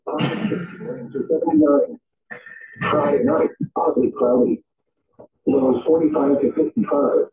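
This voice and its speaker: A man's voice: high voice, sing-song, measured pace, creaky. A synthetic man's voice reading an automated weather forecast as a radio announcer in clipped, formulaic phrases, played over a radio. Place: radio speaker playing in a home room. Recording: dull radio playback in echoey room.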